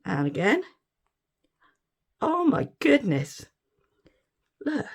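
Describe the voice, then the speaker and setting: A woman's voice: fairly high pitch, sing-song, extremely slow, vocal fry, breathy edge. A middle-aged woman chatting casually through a resin craft demonstration, giggly and easily delighted, while losing her voice. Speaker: resin art vlogger.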